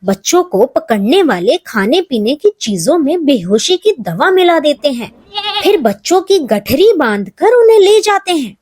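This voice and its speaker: A woman narrating a scripted children's story in an expressive, measured way. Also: high-pitched, no echo, clean studio sound.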